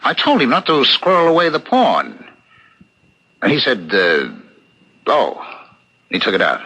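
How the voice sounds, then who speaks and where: An actor playing a puzzled, loyal friend recalling events in a 1970s radio mystery, hesitant and ruminative. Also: male voice, mid-range voice, huge pitch swings, measured pace, pushed and bright, husky, dull audio, heavily acted. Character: puzzled male witness, loyal friend, thinking aloud. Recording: old radio broadcast recording.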